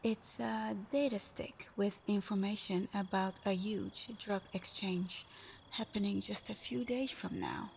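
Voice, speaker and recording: A woman's voice: medium pitch, creaky, a little hiss or hum. A woman playing a sly, confident, flirtatious supervillain in an ASMR roleplay, teasing with a sultry, playful drawl.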